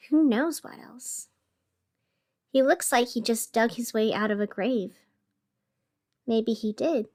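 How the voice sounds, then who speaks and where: High-voiced, unhurried radio host played by a woman. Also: a little mellow. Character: sleepless, over-caffeinated female radio host in strange city. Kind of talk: scripted radio fiction narration.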